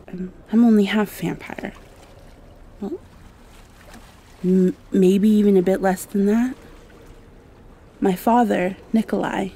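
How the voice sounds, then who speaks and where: A woman playing an earnest, insecure young vampire girl in an ASMR roleplay, speaking softly and hesitantly, as if confiding. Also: mid-range voice, vocal fry, a little hiss or hum.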